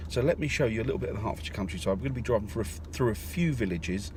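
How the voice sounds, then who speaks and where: A man's voice: fairly low pitch, lively intonation, very fast. A man from North London chatting casually while driving, rambling with lots of fillers and restarts. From North London, England. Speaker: vlogger. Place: in a car in the countryside. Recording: car noise in the background. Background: road and car noise.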